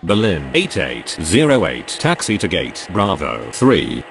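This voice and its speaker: A man's voice: low voice, wildly swooping pitch, unhurried, gravelly, a bit bright, a little hiss or hum, full character voice. A man playing a businesslike airline radio voice, giving formal, clipped scripted phraseology. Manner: formal, procedural. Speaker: pilot and air traffic controller voices.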